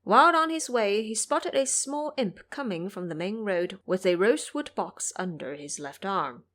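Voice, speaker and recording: A woman's voice: mid-range voice, lively intonation, normal speed, fairly bright tone. A woman narrating a scripted audio drama as a dramatic storyteller.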